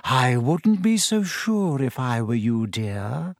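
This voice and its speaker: A man putting on a soft misty old seer woman. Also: medium pitch, big pitch swings, no echo, studio quality. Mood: mystical. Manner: slow, soft, drawn-out delivery.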